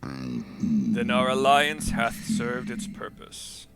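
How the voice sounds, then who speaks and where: A man voicing an ancient, solemn dragon in a stately, drawn-out, archaic manner, as in a fantasy game. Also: medium pitch, wildly swooping pitch, gravelly, over loud music, exaggerated voice. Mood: solemn, proud.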